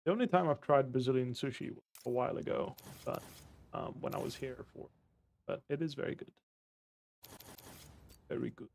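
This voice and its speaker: A man streaming a game, casually answering viewer questions in a relaxed, friendly way. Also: medium pitch, normal speed, gravelly, pauses often. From Belgium. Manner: relaxed and friendly, with easy fillers.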